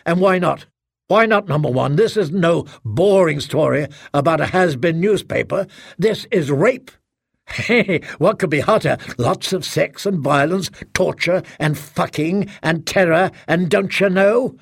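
Male reader as enraged young daughter, high and strained, gravelly, dry close read. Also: a little slow, dry recording, exaggerated voice. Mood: bitter, furious. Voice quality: strained, accusing. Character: furious anguished young adult daughter. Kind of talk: audiobook character acting.

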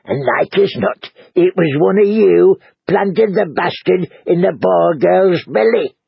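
A man's voice: sits high, takes it slowly, creaky, breathy edge, lo-fi sound, voice pushed up, full character voice. A man voicing a grumbling, defensive middle-aged innkeeper who whines and complains. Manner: whiny, complaining.

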